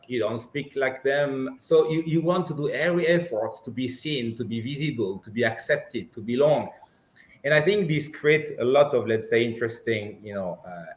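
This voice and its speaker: A man with a French accent, whose first language is Armenian, speaking reflectively as a guest on a webinar panel, often pausing with "you know". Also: medium pitch. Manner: reflective, many restarts and "you know" fillers. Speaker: panel guest, business leader. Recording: roomy echo.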